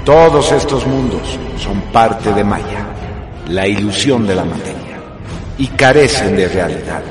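A man's voice: on the low side, expressive, a little slow. A man narrating a radio drama in a solemn, grandiose scripted style with dramatic emphasis. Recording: music behind the voice. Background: music.

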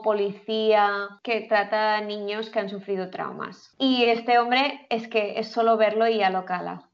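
A woman's voice: mid-range voice, vocal fry, muffled recording. A woman who grew up in the Philippines, co-hosting a casual chat and laughing often, with many fillers. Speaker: podcast co-host.

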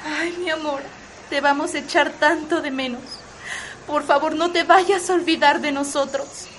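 A woman's voice: sits high, fairly even intonation, moderate pace, background noise, lightly acted. A woman acting a doting, devout middle-aged mother in a radio soap opera, with a Mexican accent and a tender, melodramatic delivery. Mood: wistful.

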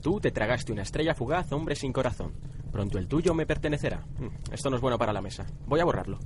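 A man's voice: medium pitch, expressive, fast, background noise, close to an everyday voice. A man playing an imperious, mysterious young wizard in a radio drama. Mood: commanding, ominous.

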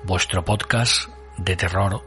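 Low-voiced man hosting a horror podcast. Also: steady tone, takes it slowly, over loud music. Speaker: podcast host. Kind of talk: scripted introduction narration.

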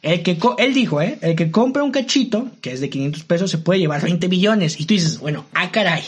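High-voiced young Mexican man chatting. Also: animated, a little fast, husky. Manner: informal and chatty, full of fillers, slangy and indignant. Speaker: co-host. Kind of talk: casual chat.

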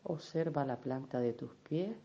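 A woman leading a guided meditation in a gentle, unhurried, soothing manner, with affectionate little diminutives. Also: a bass voice, muffled recording. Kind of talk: guided meditation, soft instruction.

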